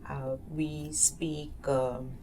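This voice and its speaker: A woman's voice: low voice, little pitch movement, extremely slow, dark tone, a little hiss or hum, low-quality recording. A woman in her thirties from South Asia, a native Hindi speaker, giving hesitant interview answers with many fillers and restarts. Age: 30s. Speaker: oral history narrator. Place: community center room.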